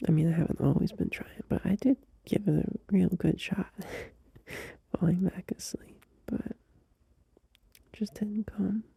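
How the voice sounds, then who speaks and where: Guy playing a sleepy sweet bf whispering right by the mic, dark husky tone, real slow. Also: high-pitched, no echo, full character voice. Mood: tender, sleepy. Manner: soft drowsy whisper, unhurried, with small laughs. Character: gentle, loving young boyfriend soothing a partner at night. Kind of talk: ASMR audio roleplay. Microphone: close mic.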